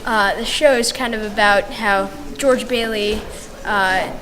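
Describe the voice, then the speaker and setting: A woman's voice: medium pitch, steady tone, unhurried. A young female cast member in a casual interview, hesitantly searching for her words. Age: child or teenager. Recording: crowd chatter behind the voice.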